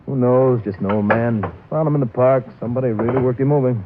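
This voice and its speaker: A man plays a world-weary city police sergeant in an old radio crime show. He is offhand and clipped, reporting things plainly at a middling pitch. The recording is thin and tinny, with faint noise. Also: fast talker, lightly acted. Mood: casual, matter-of-fact. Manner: offhand, clipped, matter-of-fact. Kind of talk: 1950s radio detective drama dialogue.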